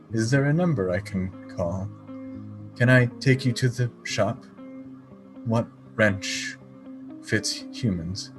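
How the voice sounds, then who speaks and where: A man playing a melancholy, polite android poet in a radio play, speaking in a stilted, formal, halting way. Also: lowish voice. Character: melancholy, polite male android poet, fast-food worker.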